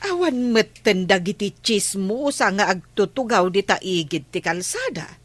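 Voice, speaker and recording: A woman's voice: mid-range voice, lively intonation, unhurried. A woman playing a complaining, gossipy village housewife and aunt in a comedy drama. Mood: chatty.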